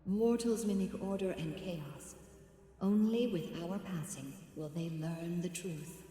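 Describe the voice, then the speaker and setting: A resolute celestial goddess makes solemn, portentous game proclamations. Her voice is fairly low and very mellow, with long echoing reverb around it. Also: takes it slowly. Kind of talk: scripted game voice lines. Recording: echoey long reverb over faint music.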